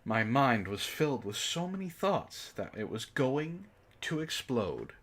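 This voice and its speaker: A man with a husky voice reading a story dramatically and breaking in with sarcastic, exasperated commentary. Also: mid-range voice, sing-song, unhurried, a bit bright. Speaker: book club reader and commentator.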